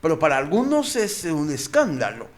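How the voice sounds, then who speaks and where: Male pastor for informal group teaching; slightly high voice, repeats key phrases, questions his listeners. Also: wildly swooping pitch, moderate pace. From born and raised outside Mexico, living in Mexico. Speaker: pastor, guest explainer.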